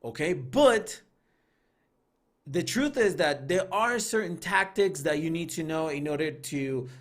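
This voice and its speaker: Fairly high-voiced man hosting a livestream. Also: lively intonation, a little slow. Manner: loose and conversational, many fillers and restarts. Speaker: trading signals group host. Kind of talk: casual livestream talk reading live chat.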